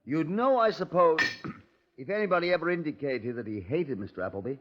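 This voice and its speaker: Actor as measured British detective-narrator; formal, deliberate, widely inflected, dull vintage radio recording. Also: male voice, a little high, expressive, noisy background, lightly acted. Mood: probing. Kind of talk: 1950s radio crime drama, formal narration. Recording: muffled old radio broadcast recording.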